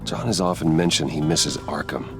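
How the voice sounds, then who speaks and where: A brooding vigilante hero in a dramatic video game. His voice is extremely low, and he talks in a terse, guarded, serious manner. Music plays behind him. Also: expressive, normal speed. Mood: focused. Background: background music.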